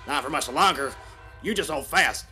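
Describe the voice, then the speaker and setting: A man playing a surly, slow-witted rural farmer thug with a folksy, menacing way of talking. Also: a little high, loud music behind the voice, lightly acted. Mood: threatening. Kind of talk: animated comic dub acting.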